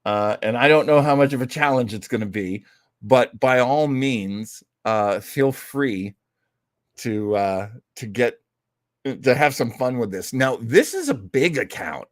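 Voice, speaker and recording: A man's voice: mid-range voice, animated, a little slow, no room sound, clean studio sound. A man hosting an online old-time radio show, chatting casually and ramblingly with theatrical, jokey asides. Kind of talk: casual rambling livestream chat.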